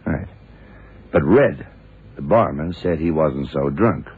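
An actor playing a blind detective in a 1970s radio drama, a gruff, commanding mentor who explains things deliberately with authority. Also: male voice, rumbling low, animated, full character voice. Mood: explaining, deliberate. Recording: tinny, narrowband old radio recording.

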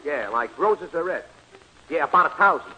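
An actor playing a bossy, slangy New York horse-betting gambler in a 1940s radio comedy, talking fast and ungrammatically. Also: male voice, a little high, lively intonation, pushed and bright, poor audio. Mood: excited, pushy. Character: brash, bossy American horse-betting gambler, sentimental, uneducated. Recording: old 1940s radio broadcast recording.

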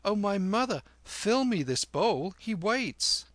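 A bold little street boy, high and quick-witted, as a man reads him. He chirps with eager, lively swings in his voice, close and dry with no room around it. Storybook reading. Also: no echo, exaggerated voice. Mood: pleading.

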